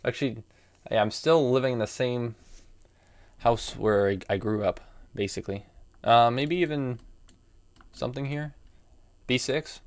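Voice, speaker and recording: Young American man in his early twenties, mid-pitched, talking through chess moves on stream over keyboard clicks. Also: unhurried, pauses often. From United States. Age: young adult. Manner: thinking aloud, musing and reading chat. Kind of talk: live commentary while playing chess.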